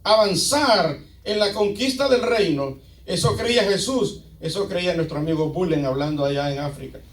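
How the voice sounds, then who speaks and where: A man's voice: sits high, animated, moderate pace. A male pastor with a Latin American Spanish accent preaching emphatically to a congregation, repeating phrases and posing rhetorical questions. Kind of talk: sermon to a congregation. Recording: echoey room, picked up from a distance.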